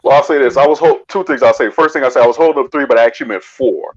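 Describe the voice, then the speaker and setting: A man's voice: mid-range voice, very fast. A man giving opinions casually on a review panel, emphatic and repeating himself for stress. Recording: dull, lo-fi microphone.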